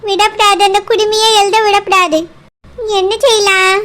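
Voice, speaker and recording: A woman's voice: extremely high pitch, level tone, moderate pace, bright, forceful tone. A woman voicing a scheming, threatening old villain woman in a cartoon, with a Kanyakumari Tamil accent. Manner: plotting aloud to herself, menacing. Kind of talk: cartoon voice acting.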